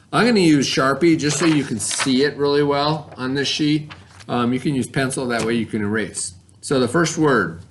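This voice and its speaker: A man's voice: mid-range voice, a bit bright, slight background noise. A man working as an elementary teacher, patiently leading a spelling lesson for children with questions and sounded-out letters. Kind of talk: read-along spelling lesson for children at home.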